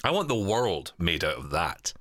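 Low-voiced guy doing a casual podcast chat, candid, kinda hesitant, very dry close sound. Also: big pitch swings, moderate pace, a bit bright, no room sound. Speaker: podcast host.

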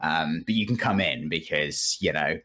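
A man's voice: very deep voice, normal speed, sharp tone, breathy edge. A man chatting casually on a video game podcast, with a jokey, teasing manner and dry mock grandeur. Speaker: podcast guest. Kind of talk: casual podcast banter about video games.

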